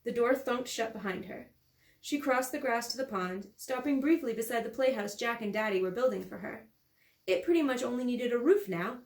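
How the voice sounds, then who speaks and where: High-voiced woman narrating in echoey room. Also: moderate pace. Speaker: author reading own novel aloud. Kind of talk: scripted storybook narration.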